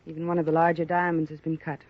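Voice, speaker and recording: A woman's voice: sits low, level tone, racing, natural voice. A woman acting a proud, cornered young former chorus girl in a 1950s radio drama, earnest and plaintive with an American accent. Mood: wistful. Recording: dull restored old radio recording.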